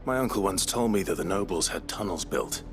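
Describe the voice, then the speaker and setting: A man playing a brooding, resolute young noble outlaw in a video game, speaking in a low, measured, terse way. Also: expressive, over music. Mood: measured, guarded. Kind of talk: dramatic video game acting.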